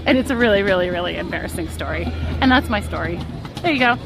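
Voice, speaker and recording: A woman's voice: medium pitch, animated, fast talker. A woman live-streaming from a theme park, telling a story in a chatty, giggly, self-deprecating way. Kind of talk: casual storytelling to a live chat. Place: outdoors at a theme park on a rainy day. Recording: park music and crowd noise behind.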